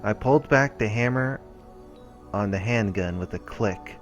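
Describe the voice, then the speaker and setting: Male let's play host, low voice, reading story narration with casual asides over clear game music. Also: unhurried.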